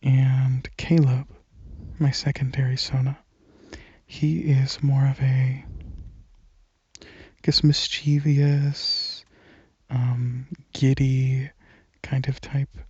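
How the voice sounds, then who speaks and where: A man rambles casually in a soft ASMR style, very breathy and often close to a whisper. His delivery is slow and hesitant, with informal phrasing. The recording is close and slightly muffled. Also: medium pitch, level tone, no room sound. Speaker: ASMR channel creator.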